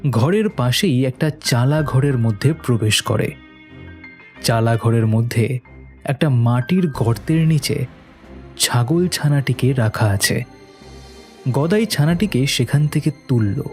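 A man's voice: medium pitch, lively intonation, slow, long pauses. A man narrating a scripted horror story for a cartoon in a storytelling style. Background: background music.

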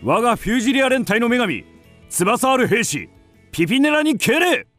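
An actor playing a proud, bombastic army sergeant who declaims like a parade commander in a radio drama. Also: male voice, very high-pitched, big pitch swings, moderate pace, fairly bright tone, soft background music, heavily acted. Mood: rousing. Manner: declamatory, barking. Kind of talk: Japanese radio drama acting.

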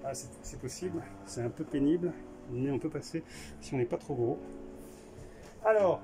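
A man talks his way through a small camper van at a trade show, filming on a handheld camera and thinking aloud with plenty of fillers. His voice sits a little high. Music plays clearly behind him. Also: normal speed, low-quality recording. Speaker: vlogger touring a camper van. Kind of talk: casual walkthrough commentary.

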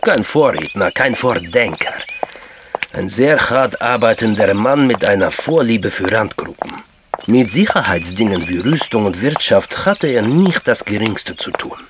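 Calm, knowing intelligence man from Georgia, lowish voice, formal explainer, radio drama, noticeable background noise. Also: a little slow. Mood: calm, explaining. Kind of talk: German crime radio drama dialogue.

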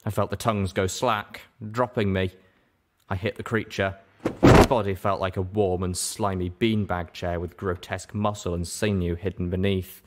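Deep-voiced man narrating a story. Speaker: audiobook reader. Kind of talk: first-person story narration.

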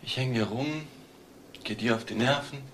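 A man playing an edgy, restless barfly in a crime drama, irritable and grumbling, with a gravelly voice. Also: mid-range voice, moderate pace, close to an everyday voice. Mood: sullen. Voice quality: creaky, gravelly. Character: edgy, restless man haunting pubs, hunted witness. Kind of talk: naturalistic crime film acting. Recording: noisy room.

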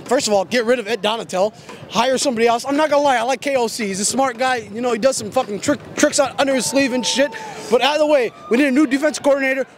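High-pitched furious young man ranting. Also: a little hiss or hum. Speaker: fan interviewed after a game. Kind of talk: street interview. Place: city street at night outdoors. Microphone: handheld mic held by interviewer.

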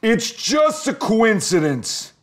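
An indignant alligator from a children's storybook, acted out by a man. He is fussy and exasperated, always protesting, with his pitch pushed well up and his words drawn out. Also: sing-song, takes it slowly, exaggerated voice. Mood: defensive. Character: indignant, fussy male alligator fed up with the narrator. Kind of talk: storybook character voice.

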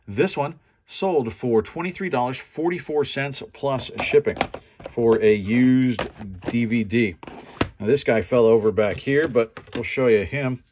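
A man's voice: mid-range voice, a little mellow, a little hiss or hum. A man giving casual, conversational show-and-tell commentary as an online reseller going through his sales. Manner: informal, conversational.

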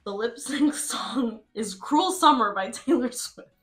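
A woman's voice: on the high side, measured pace, fairly bright tone. Playful woman commentating over music. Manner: playful, slangy, announces results like a pageant host. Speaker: host of a simulated reality competition. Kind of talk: casual reaction commentary.

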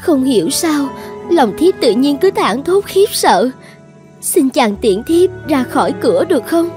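A woman playing an anxious, gentle young folktale maiden in a cartoon, pleading in formal old-fashioned words. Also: high-pitched, big pitch swings, a little slow, soft background music. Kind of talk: dramatic cartoon voice acting.

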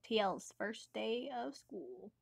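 A woman's voice: on the high side, sing-song, slow, vocal fry, slightly rough, no echo, clean studio sound. A woman casually reading out an amateur comic dub in a joking, sweary, informal way. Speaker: comic dub narrator and voice actor.

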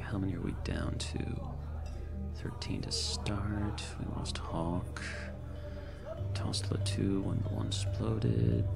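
A man playing a drunk, haunted space reclaimer in an ASMR roleplay, halting and rambling, often breathy and near a whisper. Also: fairly low pitch, heavily acted. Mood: tipsy, darkly amused. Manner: slurred, halting, rambling with muttered asides. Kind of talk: in-character ASMR roleplay monologue. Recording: loud bar ambience music behind.

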